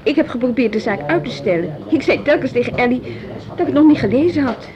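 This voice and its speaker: Actress doing harried tired lady running a music school, highish voice, radio play, bit muffled sound. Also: expressive, fast talker, crowd noise, natural voice. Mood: exasperated. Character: Hanneke, harried, weary music school director, adult woman. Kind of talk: naturalistic radio drama dialogue. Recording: slightly dull radio drama recording.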